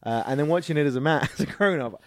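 Animated, jokey man with lively, swooping pitch. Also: quick pace, dry recording. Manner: animated, jokey, acts out imagined quotes. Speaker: podcast co-host. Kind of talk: casual chat, film and games banter.

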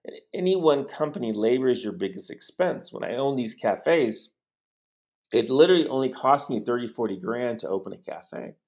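A man's voice: medium pitch, expressive, normal speed, a little mellow. A man in his sixties from the United States, hosting a casual interview and telling long rambling stories with frequent restarts. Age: 60s. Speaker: host.

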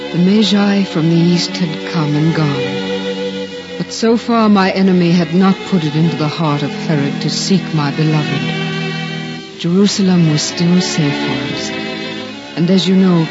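A devout young biblical mother, reverent and tender, in measured, formal 1950s radio drama diction. The old recording is dull and muffled, with music clearly playing underneath. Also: fairly low pitch.

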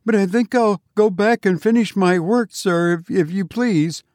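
A man's voice: high voice, a little slow, a little mellow, no room sound, clean studio sound, pitched above the performer's own voice. A man voicing a terrified, meek gravedigger who stammers deferentially in a storybook reading. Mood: nervous.

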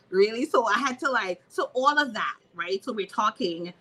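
A woman's voice: on the high side, a little slow, rough recording. A woman from Trinidad and Tobago, a physical therapist, chatting as a guest on a podcast and laughing often as she tells stories. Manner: laughs often, animated storytelling. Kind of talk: casual interview chat.

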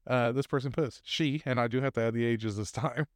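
A man's voice: medium pitch, fairly quick, no room sound, clean studio sound. A man co-hosting a casual podcast, reading posted stories aloud with fillers and laughs.

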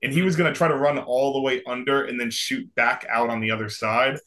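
Young guy cohost, bright sharp voice, animated storyteller. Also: medium pitch, fairly quick. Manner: animated storyteller, acts out quotes. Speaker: podcast co-host. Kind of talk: casual chat.

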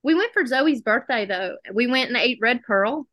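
Bright-voiced Southern woman, chatty storyteller. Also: fairly high pitch, expressive, normal speed, no echo. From South Alabama. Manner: chatty storytelling, acts out quoted exchanges. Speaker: dental student guest. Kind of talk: casual chat.

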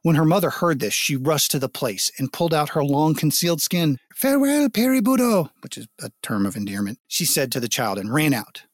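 Casting: male folk tale narrator, mid-range voice, expressive dramatic reading with explanatory asides, dry studio-like sound. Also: medium pitch, no room sound. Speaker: storyteller.

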